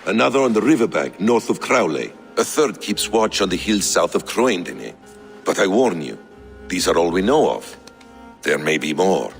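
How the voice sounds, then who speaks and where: Patient Viking counsellor, creaky, lively intonation, measured, persuasive, light music behind. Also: male voice, sits low, heavily acted. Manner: deliberate, persuasive. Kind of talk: dramatic video game acting.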